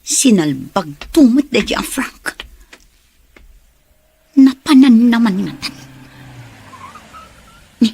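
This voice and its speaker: A woman's voice: on the high side, very animated, slow pace, vocal fry, long pauses, warm tone, a little hiss or hum, heavily acted. A woman acting a resentful, hard-up young woman's inner monologue in an Ilocano radio drama. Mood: resentful, secretive.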